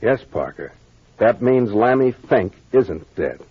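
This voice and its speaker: An actor playing a confident, authoritative captain investigator explaining a mystery in an old-time radio serial. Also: male voice, on the low side, sing-song, slow pace, husky, no room sound, full character voice. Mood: explaining, assured. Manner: authoritative, expository. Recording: muffled old radio recording.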